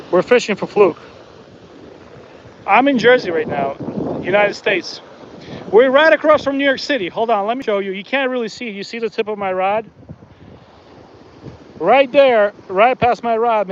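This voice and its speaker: A high-voiced man talks into his phone on a windy beach, chatting away to his viewers and to the fish he catches. The sound is a little dull, with faint wind behind him. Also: moderate pace, pauses often. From Soviet Union. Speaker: fishing livestream host. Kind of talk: casual live commentary while fishing. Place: outdoors on a beach by the water.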